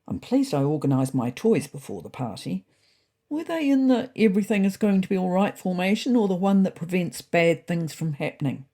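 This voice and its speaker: Woman as a husky, wry male house cat. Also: on the low side, big pitch swings, moderate pace, warm tone. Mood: wry, worried. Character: fussy, indignant male house cat narrating his diary. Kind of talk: first-person storybook narration.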